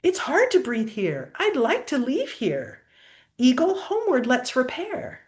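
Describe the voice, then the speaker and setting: A woman's voice: high-pitched, huge pitch swings, unhurried, pitched above the performer's own voice, exaggerated voice. A woman voicing a curious, homesick male armadillo in a storybook, earnest and full of wonder. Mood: uneasy.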